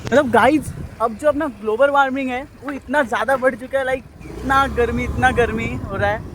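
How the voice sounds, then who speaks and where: A man's voice: extremely high pitch, steady tone, brisk. A young man chatting casually and teasingly to his vlog audience, switching languages. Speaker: vlogger. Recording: clearly noisy outdoor handheld recording.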